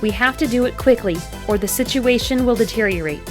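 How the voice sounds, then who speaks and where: A woman's voice: fairly high pitch, a little fast, faint music behind, lightly acted. A woman voicing a confident, helpful female android agent in a comic dub, earnest and composed. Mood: determined.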